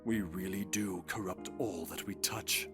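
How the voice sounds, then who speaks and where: A man's voice: deep voice, steady tone, loud music behind the voice. A man playing a remorseful, brooding vampire in an audio drama, speaking mournfully. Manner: slow, mournful. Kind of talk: visual-novel audio drama.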